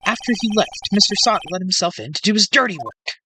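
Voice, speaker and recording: A woman's voice: medium pitch, big pitch swings, fast talker, noisy background, lightly acted. A woman voicing an earnest young male defense lawyer who gets exasperated, in a fan dub of a video game. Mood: earnest, reflective.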